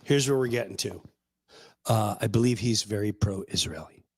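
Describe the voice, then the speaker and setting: A man hosting a casual talk show, sarcastic and animated, now and then slipping into mocking voices. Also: lowish voice, normal speed, breathy edge. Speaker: podcast host.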